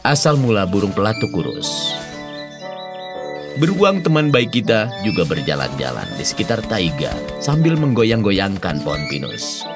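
A man's voice: lowish voice, very animated, normal speed. A man narrating a scripted children's story as a storyteller. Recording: loud music behind the voice.

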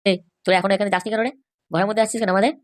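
A woman's voice: medium pitch, fairly even intonation, very fast, close to an everyday voice. A woman voicing a defiant, hot-headed young married man in a comic cartoon, blustering and argumentative.

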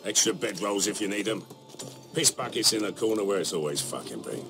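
A man's voice: on the low side, animated, a little fast. A man playing a surly, foul-mouthed mercenary soldier in a video game, curt and grumbling over music. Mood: surly, dismissive. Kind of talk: dramatic video game voice acting.

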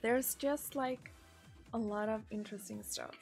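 A woman in her thirties from Sweden with a Swedish accent, chatting casually and giggling as she reads viewer messages on a live stream from a small room, into a desk mic on a boom arm. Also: a little mellow, soft background music. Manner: giggly, chatty, drawn-out pauses.

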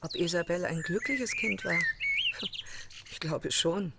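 A woman's voice: lowish voice, animated, measured pace. An actress playing a hesitant mother being questioned in a crime radio drama. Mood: hesitant, defensive. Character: hesitant mother answering questions about her daughter. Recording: clear outdoor noise, birdsong.

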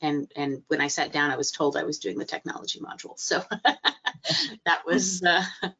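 A woman's voice: deep voice, normal speed, bright, forceful tone, breathy edge. A middle-aged woman, recovering from a cold and a little stuffy, giving a hesitant webinar talk full of fillers and restarts over a video call. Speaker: guest speaker, library trainer. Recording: muffled video-call audio.

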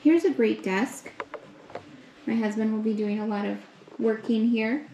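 Young woman, slow upbeat room-tour narration, roomy echo, faint hum behind, handheld camera mic. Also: a little high, mellow. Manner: slow, upbeat, pointing things out. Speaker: vlogger giving a room tour. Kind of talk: casual walkthrough narration. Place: indoors in a hotel suite. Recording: echoey rooms with faint ambience.

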